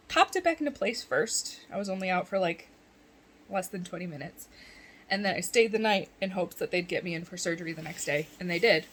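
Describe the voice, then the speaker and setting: A woman's voice: medium pitch, a little hiss or hum. A woman vlogging in a casual chat from bed at home, congested and rambling, often laughing.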